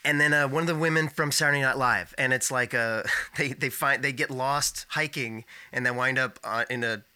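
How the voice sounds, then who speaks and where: A man chats easily, laughing and chiming in with quick asides. His voice is very bright and crisp. The sound is close and dry. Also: mid-range voice, no room sound. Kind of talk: casual chat.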